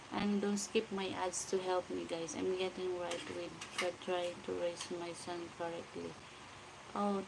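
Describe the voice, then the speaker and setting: A woman's voice: fairly low pitch, creaky. A Filipino woman in her forties hosting a casual livestream. She reads out viewer comments and greets people by name, talking into a phone. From Philippines. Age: 40s. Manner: greets viewers by name, slow and chatty, mixes in Tagalog. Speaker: livestream vlogger. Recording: noisy room ambience.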